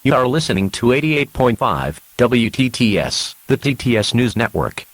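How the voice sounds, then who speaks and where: A man playing an earnest radio news announcer, reading a scripted emergency alert in a formal, measured manner. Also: medium pitch, sing-song, dry recording. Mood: grave, formal.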